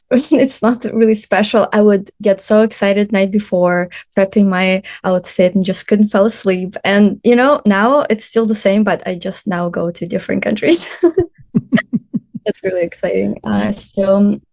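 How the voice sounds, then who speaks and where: Brief: Russian-born woman, thirties, relaxed podcast guest, laughs often, loose non-native grammar. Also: mid-range voice. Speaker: podcast guest, photographer and traveler. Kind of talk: casual interview chat.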